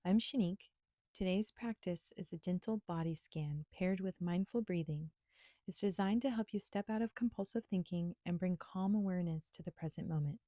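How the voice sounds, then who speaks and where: A woman instructor leading a guided meditation in a gentle, soothing, unhurried manner with pauses. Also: lowish voice, dry recording.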